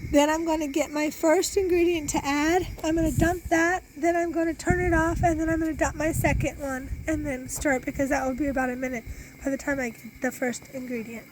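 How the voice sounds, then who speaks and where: A woman's voice: high-pitched, steady tone, a little fast, noisy background. A woman in her forties who is losing her voice, chatting step by step through a beekeeping recipe out on her back deck, filmed close on a phone. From Iowa, US. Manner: chatty, explains step by step with many restarts. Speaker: homesteader and beekeeper. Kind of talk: casual how-to cooking demonstration. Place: outdoor back deck in a farm yard. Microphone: phone camera held close.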